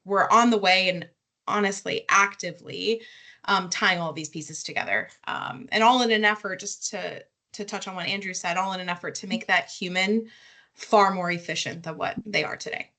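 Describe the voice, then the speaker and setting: A woman speaking as a company panelist, explaining business matters conversationally in halting fragments. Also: medium pitch, pushed and bright. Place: online webinar call.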